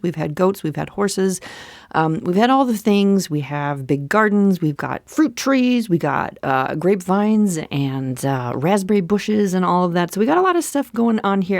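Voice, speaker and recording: A woman's voice: fairly low pitch, a little mellow, no echo, studio quality. A woman with a Minnesota accent hosting her own podcast in an upbeat, chatty way, often restarting her sentences. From central Minnesota. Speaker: podcast host. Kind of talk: solo podcast chat.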